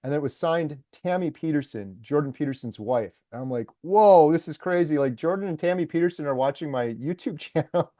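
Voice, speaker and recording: A man's voice: a little high, fairly quick, few pauses, dark tone, dry recording, studio quality. A man who makes documentaries, talking casually and eagerly in an interview and filling his speech with "like" and "uh". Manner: enthusiastic storyteller, lots of fillers like "like" and "uh". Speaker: documentary maker and YouTuber.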